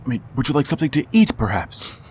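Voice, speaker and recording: A man's voice: mid-range voice, very animated, fast talker, a little mellow, a little hiss or hum, lightly acted. A man acting a grieving father who narrates and pleads with his returned son in a radio drama, with a stammering, emotional delivery. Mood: pleading.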